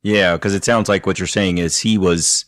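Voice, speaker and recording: A man's voice: sits low, steady tone, normal speed, fairly bright tone, no room sound, studio quality. A man hosting a podcast, interviewing a guest and recapping her story in narration, with attentive murmurs. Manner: attentive, frequent affirming murmurs.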